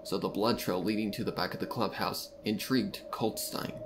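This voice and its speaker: A man's voice: lowish voice, steady tone, normal speed, background noise. A man reading a story aloud as narrator, in a dramatic scripted narration style. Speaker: fan fiction narrator. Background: music.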